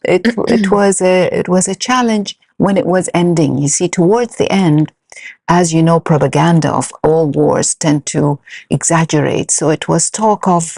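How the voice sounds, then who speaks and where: A middle-aged woman from Iraq whose native language is Arabic, telling her own story as an interview guest in a halting, measured way. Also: on the low side, creaky, a little mellow, slightly rough, no echo, clean studio sound. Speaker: podcast guest, senior lecturer.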